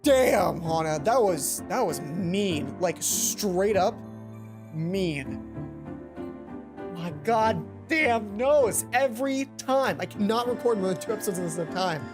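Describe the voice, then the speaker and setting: A woman's voice: medium pitch, animated, slow pace. A woman doing a casual let's play, commenting playfully and reading visual novel text aloud. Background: game music behind the voice.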